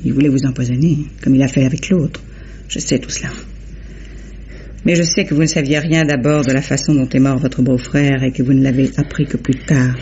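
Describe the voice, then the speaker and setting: A brisk, resourceful woman rescuer. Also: rumbling low, fairly even intonation, dark tone. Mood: reassuring. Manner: urgent commands, soothing assurances. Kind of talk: old French radio drama acting. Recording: dull old radio recording, faint noise.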